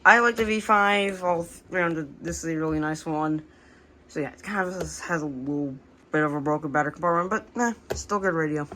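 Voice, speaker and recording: An American woman casually showing a hobby collection, speaking in a halting, unscripted, plain way on an amateur home recording. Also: deep voice, sharp tone, a little hiss or hum, low-quality recording. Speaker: radio collector presenting the collection. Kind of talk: casual hobby show-and-tell narration.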